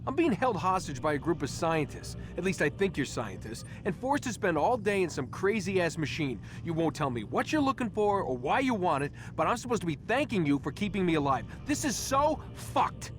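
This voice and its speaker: A man's voice: sits high, very animated, a little fast, few pauses, soft background music. A man playing a sarcastic, frustrated young American captive in a video game, with slangy, wry delivery. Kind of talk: naturalistic video game acting.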